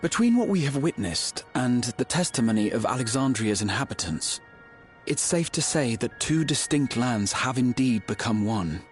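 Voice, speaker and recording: An actor voicing a self-assured young scholar in a video game, explaining things in formal, precise, deliberate phrases. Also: male voice, medium pitch, sing-song, faint music behind, lightly acted. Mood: measured, analytical. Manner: articulate, formal, deliberate lecturing delivery. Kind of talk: scripted video game exposition.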